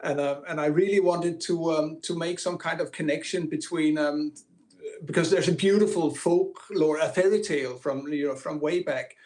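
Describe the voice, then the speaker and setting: A filmmaker from Greenland answers interview questions in a fairly high voice. He talks casually, often stops to restart, and fills his pauses with ums. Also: a little mellow. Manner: hesitant, many fillers and restarts. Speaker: filmmaker and film teacher, guest.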